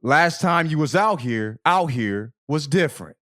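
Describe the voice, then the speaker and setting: An American man in his thirties co-hosting a podcast with casual comic banter, animated, slangy and profane. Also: a little high, a little slow. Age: 30s. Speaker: podcast co-host. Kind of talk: casual comedic banter and mock-dramatic reading.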